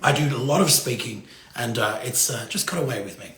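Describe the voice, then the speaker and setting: A man with a gravelly voice hosting a casual live music interview in an effusive, exclaiming manner. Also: medium pitch, expressive, a little fast, fairly bright tone, roomy sound. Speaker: interview show host. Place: indoors, live social media stream.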